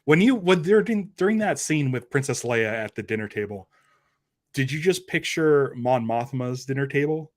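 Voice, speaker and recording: A man with a medium-pitched voice chats on a podcast panel. His delivery is conversational and informal, like an everyday discussion of pop culture. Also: expressive, moderate pace.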